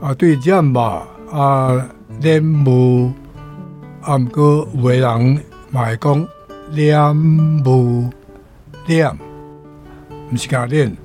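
An older Taiwanese-accented man teaches vocabulary at a very slow pace. He moves haltingly through short phrases, with light guitar music behind him. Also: mid-range voice, fairly even intonation, a little mellow. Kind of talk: explaining vocabulary in a radio language segment.

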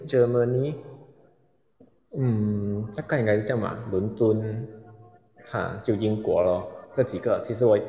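Low creaky Malaysian man, echoey. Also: warm tone. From Penang, Malaysia. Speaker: guest listener taking the floor. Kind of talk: casual chat with English words mixed in. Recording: echoey reverberant online audio room.

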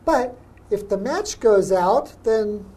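A woman's voice: mid-range voice, lively intonation, slow, dark tone. A young woman hosting a radio English lesson, patiently explaining words with everyday examples. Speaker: English teacher, host.

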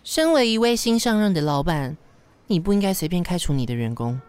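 A woman's voice: fairly low pitch, sing-song, brisk, darkish tone, a little hiss or hum. A woman playing an exasperated, dryly bossy office secretary in a radio drama. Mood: exasperated, sardonic. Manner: dry, bossy, world-weary. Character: brisk, exasperated, sardonic female office secretary.

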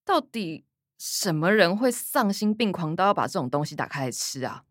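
A sardonic, animated Taiwanese older sister. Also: female voice, fairly low pitch, moderate pace, no echo, studio quality. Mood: sarcastic teasing. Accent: Taiwanese Mandarin. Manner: sardonic, teasing. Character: sarcastic, teasing older sister, a young working woman. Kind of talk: radio drama acting.

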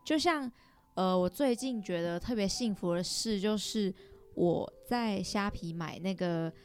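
A woman's voice: medium pitch, a little slow, a little mellow, smooth. A young woman with a Taiwanese Mandarin accent, chatting casually as a guest on a podcast with friends.

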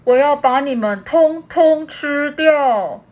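A woman voicing a big boastful male seal in a storybook, shouting his words loudly. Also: slow pace. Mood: menacing. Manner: loud, booming exclamations.

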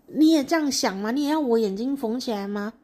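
A woman's voice: a little high, a little fast, dark tone, voice pushed up, close to an everyday voice. A woman voicing an earnest, lovestruck young man who talks in insistent questions within her story. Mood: adoring.

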